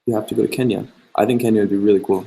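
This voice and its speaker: A young man with a low voice chats loosely with his viewers, reading out their questions and answering off the cuff. His tone is gravelly with a touch of fry, and he fills gaps with easy ums and likes. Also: racing, poor audio. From Canada. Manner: relaxed and chatty, many fillers, reads questions aloud. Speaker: travel vlogger. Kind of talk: casual livestream chat answering viewer questions.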